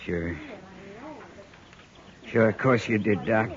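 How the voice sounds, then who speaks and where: An actor playing a stunned, bitter widowed rancher in a 1950s radio western, terse and rustic. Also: male voice, fairly low pitch, slow, crowd noise. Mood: numb grief. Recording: muffled 1950s radio recording.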